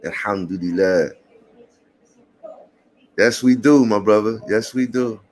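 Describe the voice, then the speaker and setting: A man's voice: fairly low pitch, slow, a little mellow, poor audio. Chill new guy talking to his vlog, calls everyone brother, easygoing slang. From Puerto Rican family. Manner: laid-back, slangy, calls people brother and man. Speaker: vlogger. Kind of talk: casual morning vlog chat.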